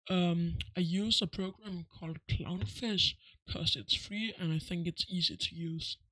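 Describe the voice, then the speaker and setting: A man narrating a casual step-by-step software tutorial with plain, simply worded instructions. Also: high voice, fairly even intonation, a little slow, dry recording, poor audio. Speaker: tutorial host.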